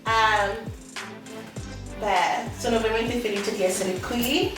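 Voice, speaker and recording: Relaxed chatty woman, echoey distant sound. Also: on the high side, a little slow. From Rome, Italy. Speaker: guest, caterer. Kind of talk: casual interview chat. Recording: echoey room, distant mic, music behind.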